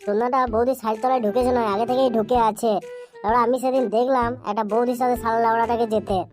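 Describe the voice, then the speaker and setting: Young male sidekick, woman performing: boastful, sweary, high voice, comedy cartoon with quiet music. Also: steady tone, fast talker, lightly acted. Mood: scheming, boastful. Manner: swearing, bragging. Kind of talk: amateur comedy cartoon dubbing.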